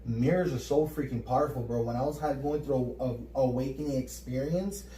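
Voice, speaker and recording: A Puerto Rican man co-hosting a casual spiritual chat, energetic and repeating words as he gets going. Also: mid-range voice, fairly quick, a little hiss or hum. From Puerto Rico. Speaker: podcast co-host. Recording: roomy echo, mic at a distance.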